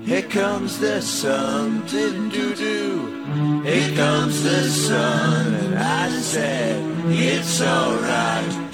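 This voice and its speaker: A woman's voice: deep voice, drawn-out words, loud music behind the voice. A woman delivering song lyrics, half sung and half spoken. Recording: loud musical backing.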